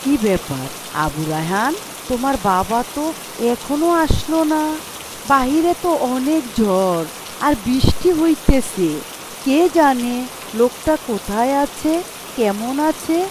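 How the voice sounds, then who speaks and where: A woman's voice: high-pitched, big pitch swings, unhurried, background noise. A woman playing a caring, practical village housewife and mother in a cartoon story. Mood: worried. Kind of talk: dubbed moral story cartoon acting.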